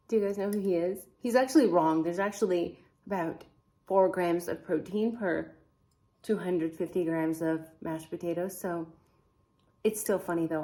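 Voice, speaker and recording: A woman's voice: lowish voice, mellow. A woman whose first language is not English, hosting a casual true crime vlog and chatting to viewers, wondering aloud and putting questions to them. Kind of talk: casual to-camera chat.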